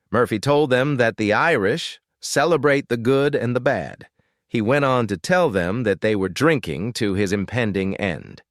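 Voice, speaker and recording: A man's voice: lowish voice, no room sound, studio quality. A man reading a string of jokes aloud, shifting his voice for the people in them. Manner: lively storytelling, quick shifts into character voices. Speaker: joke teller.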